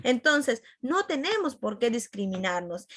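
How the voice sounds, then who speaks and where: Bright-voiced Peruvian woman teaching a class. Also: on the high side, very animated, a little slow, no echo, studio quality. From Peru. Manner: repetitive, checks in with listeners. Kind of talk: conversational lesson to a class.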